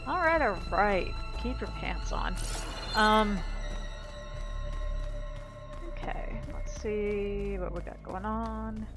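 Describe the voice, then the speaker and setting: A woman's voice: mid-range voice, huge pitch swings, slow. A woman giving casual gameplay commentary as a let's play host, rambling and blunt with some swearing. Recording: loud game music behind.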